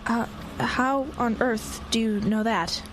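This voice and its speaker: A woman's voice: a little high, fairly even intonation, takes it slowly, a little hiss or hum, rough recording. A woman playing a blunt, wary teenage schoolkid sleuth in a radio drama. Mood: guarded, blunt.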